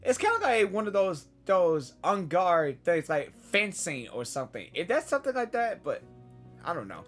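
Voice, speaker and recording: A woman hosting a casual gaming reaction. She talks informally and rambles, with exclamations and very lively pitch swings in a lowish voice, over faint game music. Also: normal speed. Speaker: gaming reaction video host.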